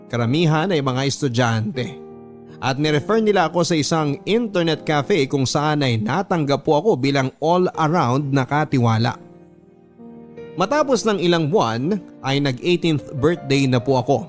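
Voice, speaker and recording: A Filipino man narrating a personal letter as a radio storyteller, measured and sincere. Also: fairly high pitch, close to an everyday voice. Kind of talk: first-person scripted dramatic narration of a letter. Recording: music bed behind the voice.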